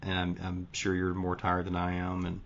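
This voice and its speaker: A man's voice: deep voice, completely flat delivery, moderate pace, dull audio, slight background noise. A tired male podcast host talking casually late at night, folksy and rambling with restarts. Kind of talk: casual conversation.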